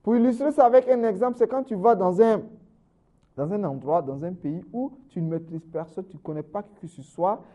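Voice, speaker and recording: A man preaching a sermon in French, constantly adding "n'est-ce pas" and swinging between raised, emphatic phrases and low, trailing endings. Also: a little fast, lo-fi sound. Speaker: Islamic preacher.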